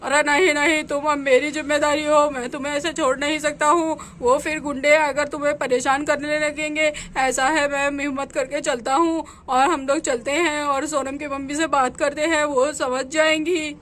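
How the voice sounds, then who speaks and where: A woman voicing a worried, guilt-ridden middle-aged village father, speaking low and heavy. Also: little pitch movement, moderate pace, few pauses, fairly bright tone, slight background noise.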